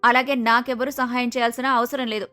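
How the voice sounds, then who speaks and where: A woman playing an arrogant, lazy young daughter-in-law who talks back curtly in an animated moral story. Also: fairly high pitch, fast, faint music behind. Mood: dismissive. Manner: curt, dismissive, argumentative.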